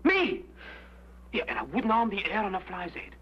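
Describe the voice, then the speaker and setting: An actor playing a chatty Cockney escape artist on the run, rambling and pleading in an old detective drama. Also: male voice, high-pitched, wildly swooping pitch, moderate pace, husky, full character voice. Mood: indignant. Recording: dull, humming old broadcast recording.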